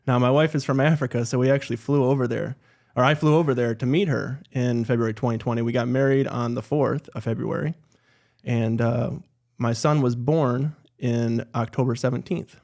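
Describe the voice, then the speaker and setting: A man guest on a podcast in a studio, telling his story in a relaxed, matter-of-fact way. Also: medium pitch, moderate pace. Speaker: guest, entrepreneur. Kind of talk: casual interview.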